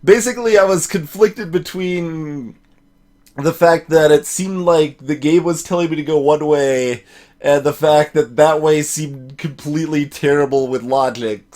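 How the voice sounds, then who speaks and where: A man's voice: a little high, fairly even intonation, moderate pace, mellow. A man casually commentating on a game he is playing, thinking aloud in a hesitant way. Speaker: let's play host.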